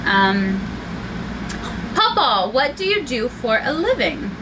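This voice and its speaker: Family vlogger woman, chatty, giggly, slightly high voice. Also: big pitch swings, slow pace, background noise. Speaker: channel host. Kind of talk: casual chat.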